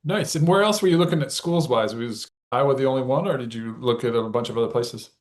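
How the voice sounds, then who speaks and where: A man's voice: mid-range voice, quick pace. A man hosting a podcast, welcoming his guest and asking questions in an upbeat, conversational way. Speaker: podcast host. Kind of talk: interview hosting.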